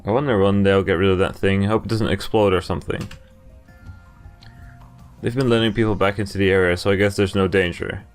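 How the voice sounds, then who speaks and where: Low-pitched man reading a visual novel. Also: fairly even intonation, a little fast, pauses often, clean tone, soft background music. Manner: breaks off from reading for casual asides. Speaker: video game playthrough host. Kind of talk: reading visual novel narration aloud with casual commentary.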